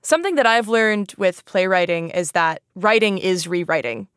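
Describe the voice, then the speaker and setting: A woman's voice: medium pitch, expressive, normal speed, fairly bright tone, clear, resonant tone, no room sound, studio quality. A woman hosting a casual educational podcast, chatting informally with plenty of "like" and an easy laugh. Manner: giggly, laughs easily. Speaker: podcast host.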